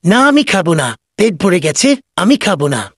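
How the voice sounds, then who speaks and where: Casting: adult as excitable little animal student, childish, whiny, highly animated cartoon delivery. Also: moderate pace, husky, no room sound, clean studio sound. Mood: stubborn.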